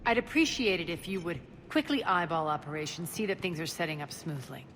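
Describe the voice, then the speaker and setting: A woman's voice: lowish voice, lively intonation, brisk, fairly bright tone, slight background noise. An actress playing a ruthless, commanding alien crime queen in a video game, sardonic and imperious. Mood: brisk command. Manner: imperious, sardonic, clipped orders. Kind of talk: video game cutscene acting.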